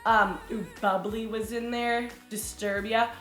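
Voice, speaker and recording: A woman's voice: fairly high pitch, fairly even intonation, a little slow, a bit bright. A young adult woman, a podcast guest and singer, chatting casually and laughing often. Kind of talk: casual chat. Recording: roomy room with faint music.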